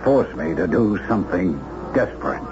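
An actor playing a shady, pushy businessman in a 1970s radio drama, speaking in a halting, insinuating way. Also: fairly low pitch, big pitch swings, creaky, over loud music. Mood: scheming, pressing. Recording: dull vintage radio recording.

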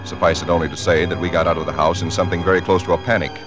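A man acting an earnest, shaken American husband in a 1940s radio drama, formal when narrating and halting when he speaks. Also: low-pitched, level tone, music in the background, close to an everyday voice. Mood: grave, haunted. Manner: formal in narration, stammering in dialogue. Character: shaken, earnest husband recounting eerie events.

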